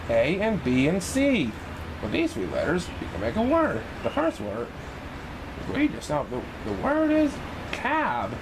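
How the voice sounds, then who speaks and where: A man reading a children's picture book aloud in an expressive, sing-song way for young listeners. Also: high-pitched, measured pace, background noise. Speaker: storybook reader in a banana persona.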